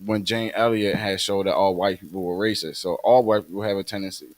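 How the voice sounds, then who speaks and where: Low-voiced man, dry close sound. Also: steady tone, no room sound. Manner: halting, many fillers and restarts. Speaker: podcast co-host. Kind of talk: casual podcast chat.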